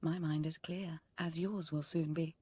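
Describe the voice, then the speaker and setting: A fervent sith cult woman for a game, low husky breathy voice, reverent and measured. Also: steady tone, mellow, no room sound. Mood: solemn, fervent. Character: fervent, obsessed female Sith cult devotee, soft-spoken zealot. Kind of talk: scripted video game voice acting.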